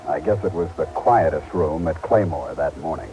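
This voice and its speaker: An actor playing an earnest, driven Air Force astronaut and loving husband in a vintage radio drama, measured and intimate. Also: male voice, rumbling low, over music, rough recording. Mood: somber, reflective. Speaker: lead actor and narrator. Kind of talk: 1950s-60s radio drama acting.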